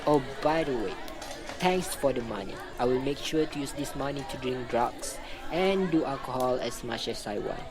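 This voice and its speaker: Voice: mid-range voice, close to an everyday voice. An amateur radio drama performer playing a fake psychiatrist, a smug, callous scammer who taunts flippantly and drags out words. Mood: gloating. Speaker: group therapy leader.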